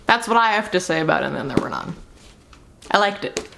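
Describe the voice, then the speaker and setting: Young woman, slightly low voice, casual vlog review, candid, informal, emphatic. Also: expressive, brisk, vocal fry, noisy background. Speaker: book review vlogger. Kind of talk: casual rambling book review to camera.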